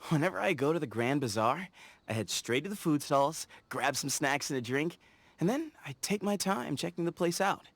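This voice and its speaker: An actor voicing an easygoing young desert scout in a game, talking in an upbeat, playful way. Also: male voice, fairly high pitch, expressive, normal speed, a bit bright, no echo. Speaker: game character. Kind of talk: game voice lines.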